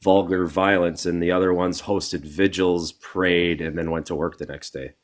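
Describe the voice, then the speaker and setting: A man calls into a stream with a deep voice and argues his case firmly. He counts off points in steady lists. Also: normal speed. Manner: assertive, listing points. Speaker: caller. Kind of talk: casual political debate.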